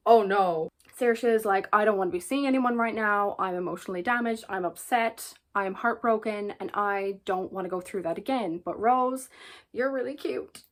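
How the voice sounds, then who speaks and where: A young Irish woman in her twenties talks casually to camera about books she is reading. She is earnest and chatty, leans on repeated words for emphasis, and laughs easily between thoughts. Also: medium pitch. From Ireland. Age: 20s. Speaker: book vlogger. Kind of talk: casual book chat to camera.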